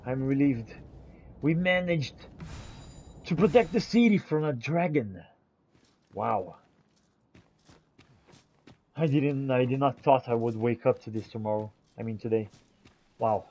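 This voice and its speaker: Mellow-voiced man roleplaying in a fantasy game. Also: mid-range voice, expressive, measured pace, long pauses. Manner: theatrical archaic phrasing mixed with casual talk. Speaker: gamer roleplaying as the player character. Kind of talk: in-character roleplay commentary over fantasy game.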